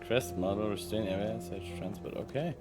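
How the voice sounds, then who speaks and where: A man's voice: on the low side, moderate pace. A man giving casual live-stream gameplay commentary, muttering reactions to himself and repeating words. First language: German. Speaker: video game streamer. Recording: game music underneath.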